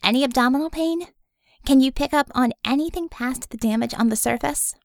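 A woman voicing a sarcastic female medic, quick, wry and clinical. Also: high voice, dry recording, clean studio sound, voice pushed up. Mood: brisk.

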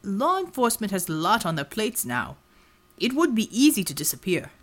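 A woman voicing a strong, calm male field chief in an audiobook, with a measured, dry and understated way of talking. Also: mid-range voice. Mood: thoughtful.

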